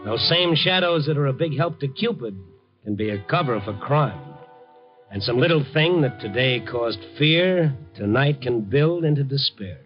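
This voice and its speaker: A man's voice: medium pitch, lively intonation, moderate pace, lightly acted. A man acts out a tough but kindly American newspaperman in a 1950s radio drama. He is wry and understated, with a noir storyteller's delivery. The sound is a muffled old broadcast with prominent music. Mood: brooding. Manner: wry, hard-boiled, understated. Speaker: narrator and lead of a radio drama. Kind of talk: 1950s radio drama acting, noir first-person narration. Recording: dull, band-limited 1950 radio recording with music.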